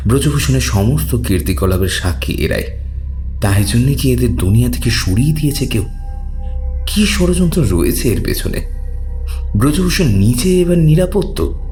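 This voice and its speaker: A man reading scripted horror fiction as a narrator, measured and suspenseful. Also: on the low side, darkish tone. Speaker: story narrator.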